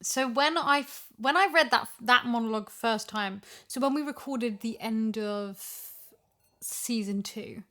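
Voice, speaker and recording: A woman's voice: a little high, unhurried, bright, forceful tone. Reflective woman in casual discussion. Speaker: voice actor discussing her character.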